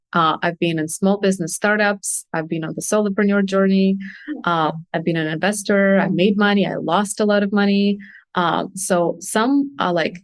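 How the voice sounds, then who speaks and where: A woman's voice: mid-range voice, no echo. A woman, explaining things earnestly and candidly in a conversational interview as a guest coach. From Russia. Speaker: podcast guest, consultant and coach.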